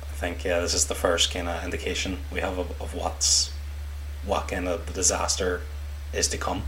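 A man's voice: deep voice, little pitch movement, normal speed, fairly bright tone, a little hiss or hum, low-quality recording. A man co-hosting a book podcast, chatting casually and somewhat tentatively about the story.

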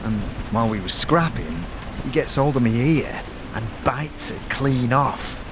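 A man's voice: medium pitch, unhurried, background noise, close to an everyday voice. A man playing a surly one-eared tiefling thug. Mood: sullen. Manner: gruff, threatening, streetwise slang.

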